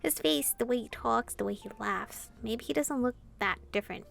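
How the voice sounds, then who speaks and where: A woman's voice: on the high side, normal speed, vocal fry. A woman reading a visual novel aloud expressively and commenting casually as she plays. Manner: animated reading, reacting informally to the story.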